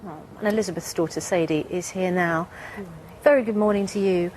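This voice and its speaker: A woman's voice: fairly low pitch, fairly quick, background noise. A woman presenting and interviewing on a morning magazine programme, in a conversational broadcast style.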